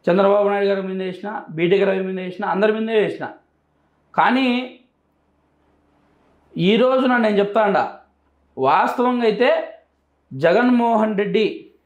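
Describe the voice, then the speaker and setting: Guy with rayalaseema telugu accent, pretty high voice, emphatic interview answers, echoey room. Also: highs cut off. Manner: emphatic, repeats phrases for emphasis. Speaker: interview guest. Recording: echoey, roomy sound.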